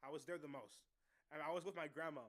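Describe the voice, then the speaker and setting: Quick bright-voiced young man. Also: fairly high pitch, steady tone. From Miami, USA. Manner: rambling, restarts, laughs at himself. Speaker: co-host. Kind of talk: casual radio chat. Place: radio studio.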